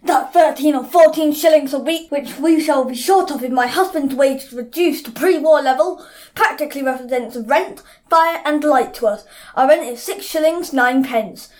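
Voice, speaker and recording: A woman plays a careworn working-class mother in 1919. Her voice is high as she delivers a thrifty wife's monologue for an audio drama. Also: normal speed, darkish tone, close to an everyday voice. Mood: worried, resolute.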